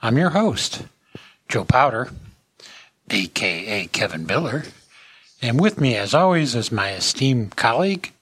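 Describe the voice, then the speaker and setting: A man's voice: medium pitch, a bit bright, breathy edge, no echo. A man hosting an industry podcast in a casual talking style. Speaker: podcast host.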